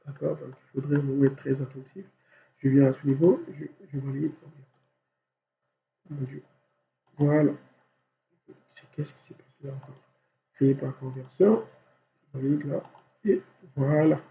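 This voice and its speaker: Gravelly man with a cold teaching software. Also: fairly high pitch. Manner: thinks aloud step by step, constant "voilà". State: has a cold. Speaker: software instructor. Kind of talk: screen-recorded software tutorial. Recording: dull lo-fi recording with faint hum.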